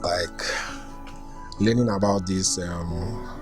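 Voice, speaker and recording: A man's voice: low-pitched, steady tone, slow. A man with a Nigerian accent, reading personal accounts aloud and reacting to them casually. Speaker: volunteer reader reacting to written accounts. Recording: music bed under the voice. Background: music.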